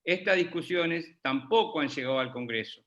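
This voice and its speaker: A man moderates an online academic discussion with a bright, fairly high voice. He is animated and cuts in with quick remarks. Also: highs cut off.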